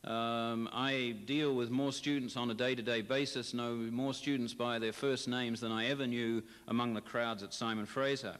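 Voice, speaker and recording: A middle-aged man, a history professor, talks in a chatty, unhurried way, often pausing on fillers. His voice carries a long reverb, as though he were recorded in a big hall. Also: medium pitch, steady tone, a bit bright, smooth. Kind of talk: interview answers.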